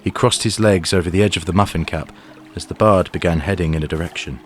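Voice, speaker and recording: A man's voice: deep voice, fast talker, slight background noise. A man narrating a scripted fantasy story for an audio drama.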